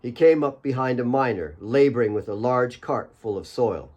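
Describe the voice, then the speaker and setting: A man's voice: medium pitch, lively intonation, moderate pace, darkish tone, dull audio. A man reading his own novel as an audiobook, in scripted dramatic narration.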